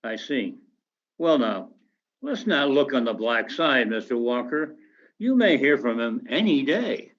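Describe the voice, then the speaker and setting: An actor playing a businesslike investigator in a radio drama, questioning politely and giving little away. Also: male voice, medium pitch, sing-song, unhurried, a bit bright, dull audio. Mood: cautiously reassuring. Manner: probing, politely noncommittal. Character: businesslike, evasive male investigator following orders.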